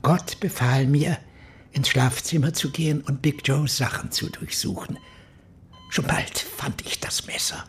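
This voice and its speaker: An actor playing a fanatical preacher who calmly confesses to murder in a radio crime drama, with a slow, hushed, zealous delivery. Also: male voice, mid-range voice, whispered, close to an everyday voice. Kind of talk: radio play acting.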